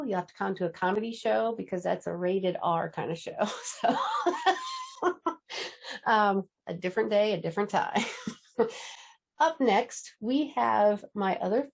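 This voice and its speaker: A woman's voice: mid-range voice, a little slow, creaky. A woman hosts a storytelling event over a video call. She is effusive and giggly, with a mid-range voice. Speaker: event host.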